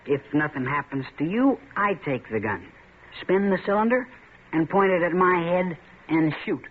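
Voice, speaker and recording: A man's voice: on the high side, lively intonation, normal speed. An actor playing a taunting, swaggering young man in a 1940s radio drama, needling his friend as a coward. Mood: coaxing. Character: taunting young male tough, Red. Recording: tinny lo-fi old radio broadcast with faint hiss.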